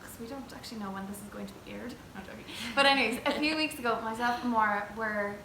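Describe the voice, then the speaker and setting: Lively young Irish woman, slightly high voice. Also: quick pace. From Ireland. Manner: lively storyteller, restarts and asides. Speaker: interviewee, traditional singer. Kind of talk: casual chat. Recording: echoey room with faint noise.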